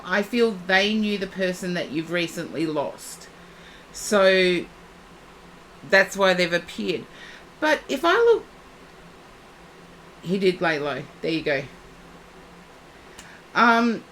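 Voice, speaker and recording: Slow, husky-voiced woman psychic streamer. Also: fairly high pitch, a bit bright, slight background noise, low-quality recording. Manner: soothing, reassuring, unhurried. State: losing her voice. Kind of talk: live-stream psychic reading.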